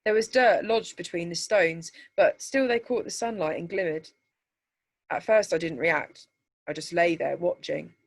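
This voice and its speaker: A woman narrating a scripted short story aloud in a measured, deliberate way, like a teacher reading to pupils. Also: fairly low pitch.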